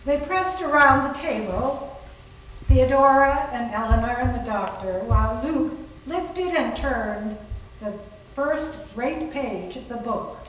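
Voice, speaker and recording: A woman narrating a horror story in a deliberate, suspenseful dramatic reading. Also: sits high, lively intonation. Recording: very echoey room, distant, faint noise.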